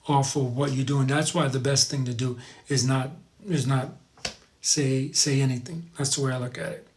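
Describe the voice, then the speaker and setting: A man hosting a casual livestream, chatting informally to viewers and rambling as he repeats his advice for emphasis. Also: on the high side. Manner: informal, addresses viewers as guys. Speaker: live show host. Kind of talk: casual livestream chat, reading viewer comments.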